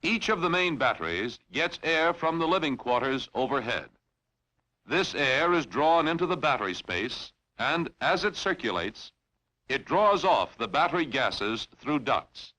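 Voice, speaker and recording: A man reading scripted instructional narration in a formal, measured, clearly enunciated way, as in a training film. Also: mid-range voice, sing-song, bright, forceful tone, poor audio.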